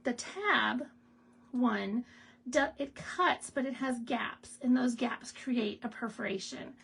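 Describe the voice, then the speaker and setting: A woman hosting a live stamping demo, raspy and clearing her throat from asthma, chatting in a rambling way with laughs and asides. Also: fairly high pitch, takes it slowly, fairly bright tone, a little hiss or hum. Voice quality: gravelly, husky. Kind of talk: casual live craft demo chat.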